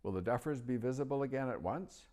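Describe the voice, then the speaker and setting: A man's voice: medium pitch, fast, a little mellow, pitched above the performer's own voice, close to an everyday voice. A man voicing a curious young girl asking eager questions.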